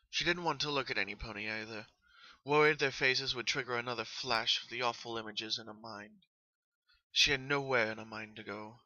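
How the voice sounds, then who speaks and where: Bright-voiced man narrating, very dry sound. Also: mid-range voice, no echo, highs cut off. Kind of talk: dramatic story narration.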